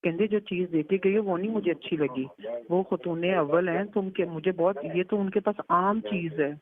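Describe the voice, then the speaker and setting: A woman's voice: lowish voice, moderate pace, a crowd in the background. A woman with a Pakistani Punjabi accent in a casual private phone conversation, recounting what was said by quoting it. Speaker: daughter on a phone call. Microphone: telephone. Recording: narrow, muffled leaked phone line.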